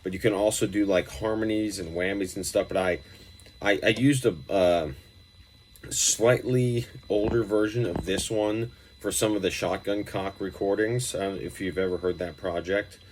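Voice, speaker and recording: Guy with a low voice doing a laid back gear demo, kinda rambly and informal. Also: fairly even intonation, normal speed, a little mellow, slight background noise. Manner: rambling, informal, loosely explanatory. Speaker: pedal reviewer and musician. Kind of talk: casual gear demonstration talk.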